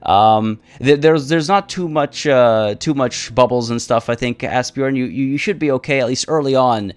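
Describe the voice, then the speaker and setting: A man live-streaming a game, chatting casually and rambling with many restarts, his voice a little rough from the morning. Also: medium pitch, moderate pace.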